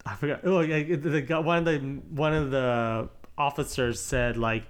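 A man's voice: medium pitch, moderate pace, clean tone. A man hosting a podcast, chatting loosely with lots of fillers and restarts. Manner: relaxed, rambling with many fillers. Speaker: podcast host. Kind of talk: casual chat.

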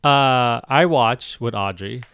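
A man co-hosting a casual podcast chat. He recounts things in a halting way, with many restarts. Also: medium pitch, a bit bright, smooth, no room sound. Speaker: podcast co-host.

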